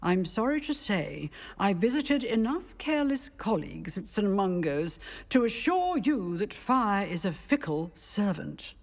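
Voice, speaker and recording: A woman playing a stern, dry-witted older magic professor in a video game, speaking in a measured way. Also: medium pitch, sing-song, big-room sound. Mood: stern, instructive. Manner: measured, stern, dryly witty. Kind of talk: scripted video game dialogue.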